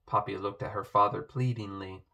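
A man reading a children's picture book aloud as narrator, in an expressive way. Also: lowish voice, moderate pace.

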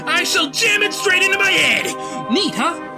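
Someone acting a manic, high-pitched deranged young man. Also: lively intonation, normal speed, loud music behind the voice. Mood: manic, defiant. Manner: theatrical ranting, bursts into shouts. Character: manic, theatrical, self-important deranged young man ranting. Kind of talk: dramatic comic dub voice acting.

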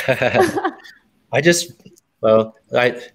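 A man on a video call panel, halting with many restarts. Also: medium pitch, huge pitch swings. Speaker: panel guest.

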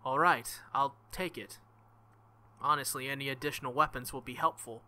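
A man reading the lines of an earnest, steady teenage boy fighter in his own voice. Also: mid-range voice, normal speed.

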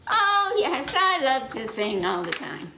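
Storybook chicken, played by an older woman: carefree, eager, high voice, roomy noisy recording. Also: sing-song, slow pace, gravelly, heavily acted. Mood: cheerful, eager. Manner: bright-hearted, eager exclamations. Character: cheerful carefree chicken who loves singing. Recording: echoey room, noticeable noise.

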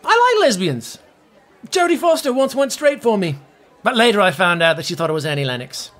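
A man's voice: high-pitched, sing-song, a little fast, fairly bright tone. An actor playing a vain, needy, pompous washed-up male rock star in a comedy audio drama. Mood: boastful. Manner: pompous, self-important.

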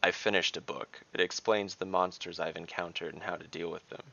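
Gruff male monster hunter, read by a male narrator, deep and gravelly, curt, world-weary, fantasy audiobook. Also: steady tone, fairly quick, sharp tone, dull audio, heavily acted. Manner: curt, matter-of-fact.

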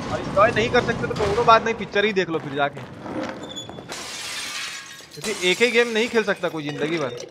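A man from India with a Bihari Hindi accent, giving casual, teasing, foul-mouthed live gaming commentary and chatting with viewers. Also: high voice. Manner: colloquial, teasing, swears freely. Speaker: video game live streamer. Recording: clearly noisy, game sound behind.

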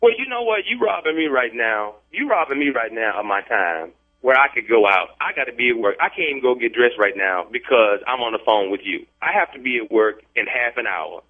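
A man talks over a narrow, tinny telephone connection. His mid-pitched voice moves up and down a lot as he protests, often repeating himself in spontaneous conversation. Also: lively intonation, brisk, hardly any pauses. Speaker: prank call target. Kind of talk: unscripted, exasperated phone conversation. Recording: tinny, band-limited phone line.

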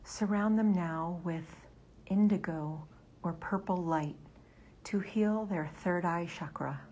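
A woman leading a guided meditation in a soft, breathy near-whisper. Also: on the low side, slow pace, lo-fi sound, a little hiss or hum.

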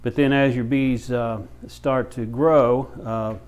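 A man in his fifties with a medium-pitched voice explains things step by step. His delivery is informal and folksy, with halting phrases, like a hands-on instructor showing beginners the basics. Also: fairly even intonation, smooth. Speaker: beekeeper and bee farm shop owner, instructor. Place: small brick-walled room with many windows, indoors.

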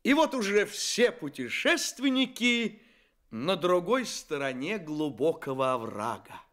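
A man's voice: fairly high pitch, sing-song, measured pace, a bit bright. A man playing an engaging fairy-tale narrator, addressing listeners expressively in a children's radio play. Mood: excited.

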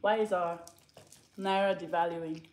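A woman's voice: lowish voice, fairly even intonation, unhurried, a little mellow, slight background noise. A young woman hosting a casual livestream chat from her living room, asking questions in a playful, curious way. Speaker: host of a livestream.

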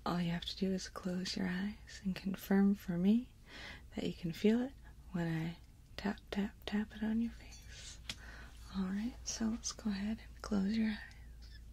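A woman in her thirties doing a soothing, gentle ASMR role play close to the microphone, guiding the listener step by step. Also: mid-range voice, steady tone, a little slow, slight background noise. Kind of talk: ASMR, whispered and soft-spoken personal attention role play. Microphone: close microphone, facing camera.

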